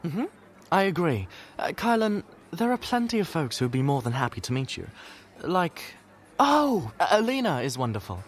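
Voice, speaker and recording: A man's voice: on the high side, sing-song, normal speed. An actor in an audio drama playing a playful, flamboyant adventurer who chats and teases his friends theatrically. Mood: friendly, chatty. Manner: teasing, flamboyant, chatty. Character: playful, theatrical, teasing male adventurer and mentor.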